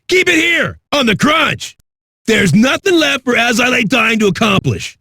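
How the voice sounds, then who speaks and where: An actor playing a cocky, crude, manic metal radio DJ with a raspy, shouty delivery. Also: male voice, very high voice, very animated, normal speed, dry recording, studio quality, exaggerated voice. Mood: hyped, brash. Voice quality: raspy, gravelly. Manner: brash, profane, shouty. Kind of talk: hard rock radio DJ patter.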